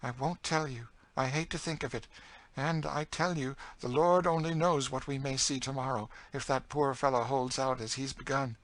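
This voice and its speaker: A man's voice: mid-range voice, steady tone, normal speed, fairly bright tone, voice pushed up, natural voice. A man voicing a bitter, despairing, world-weary enslaved woman in an audiobook, with a dry, emphatic delivery. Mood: haunted, ominous. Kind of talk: dramatised audiobook reading of a classic novel.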